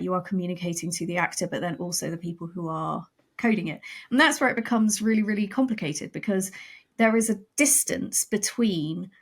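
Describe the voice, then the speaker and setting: A woman, a podcast guest and writer, explaining in lively, quick bursts. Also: on the low side. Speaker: guest, audio drama writer. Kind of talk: casual podcast conversation.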